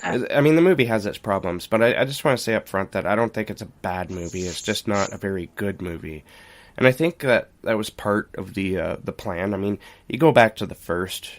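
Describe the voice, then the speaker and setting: A man's voice: on the low side, animated, brisk. A man co-hosting a podcast in a casual, candid and informal film review chat. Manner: informal, candid, mildly profane. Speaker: podcast co-host.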